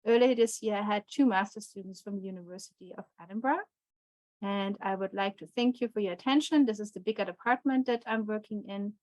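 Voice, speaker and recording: A woman's voice: medium pitch, fairly quick, darkish tone, no echo, low-quality recording. A woman from Germany with a German accent, giving an online physics lecture with frequent fillers and restarts. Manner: hesitant, many fillers and restarts.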